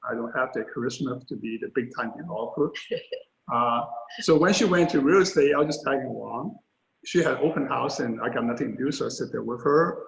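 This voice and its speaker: A man's voice: medium pitch, fairly quick, vocal fry. An older man tells his life story as an interview guest, candid and self-deprecating, with many fillers. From Hong Kong. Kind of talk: storytelling in a panel conversation. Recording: roomy, dull lo-fi sound.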